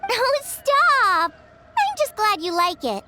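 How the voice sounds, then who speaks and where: A woman's voice: very high voice, sing-song, slow pace, background noise, exaggerated voice. A woman voicing a friendly, caring young girl in an anime fantasy game, kind, upbeat and reassuring. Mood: kind, concerned. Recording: music behind the voice.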